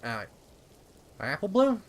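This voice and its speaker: A man's voice: on the high side, wildly swooping pitch, takes it slowly, voice pushed up, full character voice. A man voicing a desperate country mare, a grown older sister, in a folksy, stammering drawl for a dramatic story reading. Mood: stunned.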